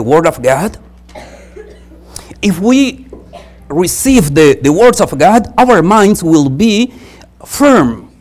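A visiting preacher, a native Spanish speaker with a Spanish accent, delivers a church sermon in a halting, earnest way, repeating phrases for emphasis. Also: mid-range voice, a little mellow.

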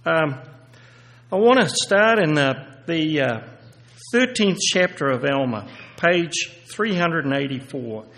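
A man giving a sermon and reading scripture aloud, halting with many fillers and restarts, then growing louder and more emphatic as he reads. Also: fairly high pitch, lively intonation. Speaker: lay preacher. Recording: echoey hall reverb.

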